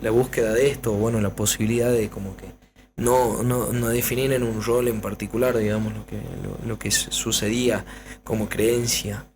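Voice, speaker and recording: A man's voice: lowish voice, flat delivery, moderate pace, noisy background. A man co-hosting a casual podcast, speaking hesitantly with frequent restarts. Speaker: podcast co-host.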